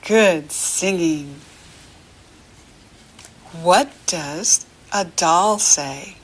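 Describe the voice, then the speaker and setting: An American woman teaching young children through a picture book, speaking to the child directly in simple, encouraging phrases with pauses. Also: fairly low pitch.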